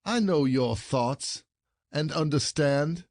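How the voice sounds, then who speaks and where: An indignant husband, acted by a man in a classic stage comedy audio play. His voice sits fairly high and is recorded dry and close. He scolds in formal phrasing, proud, jealous and sarcastic. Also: takes it slowly, darkish tone, dry recording. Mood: scolding.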